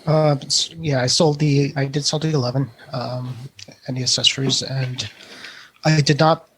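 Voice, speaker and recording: A man's voice: medium pitch, fairly even intonation, normal speed, fairly bright tone, slight background noise. A man co-hosting a tech podcast, chatting casually and hesitantly as he thinks aloud. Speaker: podcast co-host.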